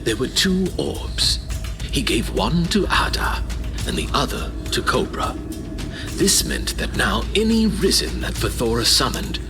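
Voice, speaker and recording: A man playing an archivist storyteller, narrating a fantasy audio drama in a measured, explanatory way. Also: medium pitch, very animated, over music. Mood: grave, explanatory. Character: male archivist storyteller recounting ancient wars.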